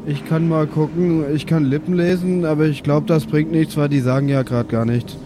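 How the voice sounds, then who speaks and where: A man's voice: fairly high pitch, steady tone, normal speed. A man improvising in character as a tabletop role-playing player, casual and colloquial, with music behind him.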